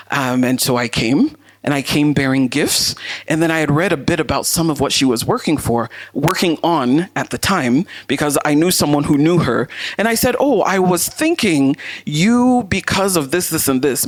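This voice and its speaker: A woman with a deep voice giving a motivational masterclass talk to an audience, speaking in a deliberate, emphatic way. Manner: deliberate, emphatic, uses rhetorical questions and repetition. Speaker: masterclass speaker, leadership coach.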